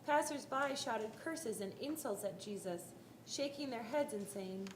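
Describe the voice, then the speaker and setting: A woman narrating a scripted dramatic Bible reading, telling the story in a deliberate, expressive way. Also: fairly high pitch, slight background noise. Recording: roomy live hall, faint music.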